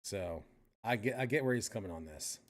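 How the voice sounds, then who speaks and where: Middle-aged male stream host, lowish voice, casual, rambling, self-correcting. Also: lively intonation, moderate pace. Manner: rambling, frequent restarts and fillers. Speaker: stream host reacting to a podcast. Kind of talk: casual personal commentary.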